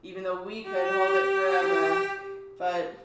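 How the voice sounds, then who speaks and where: A woman's voice: fairly low pitch, big pitch swings, a little slow, over loud music. A woman co-hosting a casual chat, explaining string technique in an easygoing way. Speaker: co-host, string teacher. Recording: echoey room, distant mic.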